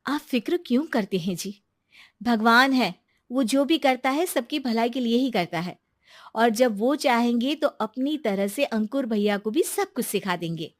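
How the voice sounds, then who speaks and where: A woman voicing a caring, gentle sister-in-law in an animated story, speaking persuasively. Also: fairly high pitch, measured pace, no room sound, studio quality.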